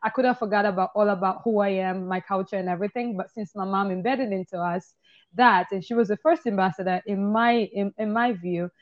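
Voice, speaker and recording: A lively woman from Tanzania tells her story in long, run-on stretches, and she laughs easily between them. Her voice sits at an ordinary middle height. It comes through a slightly muffled, lo-fi video call from her apartment. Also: medium pitch, fairly quick. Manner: animated, laughs often, talks in long run-on stories. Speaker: guest, tour business owner. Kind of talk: casual interview chat. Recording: slightly dull, lo-fi call audio.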